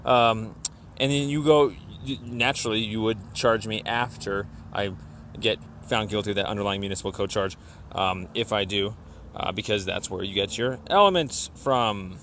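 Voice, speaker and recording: A man leaving a complaint voicemail, explaining his argument insistently and haltingly, often repeating himself. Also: fairly low pitch, fairly bright tone, a little hiss or hum.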